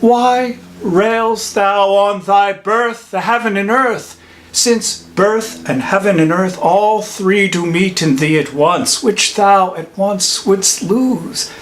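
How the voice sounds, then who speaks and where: A man playing a wise old holy friar in a classical Shakespearean monologue, delivering verse in a deliberate, theatrical manner. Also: sits high, a little hiss or hum, poor audio. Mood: stern, outraged.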